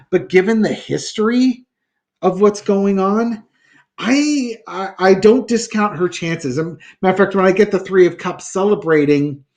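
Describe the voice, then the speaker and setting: A man reading tarot cards in a casual talk show, thinking aloud with many fillers and restarts. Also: on the high side. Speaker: tarot reader.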